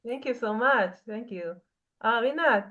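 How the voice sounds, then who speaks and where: A woman's voice: a little high, unhurried, fairly bright tone. A woman moderating an online class who thanks each speaker briefly and calls on the next. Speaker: course moderator. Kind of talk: calling on participants in an online class.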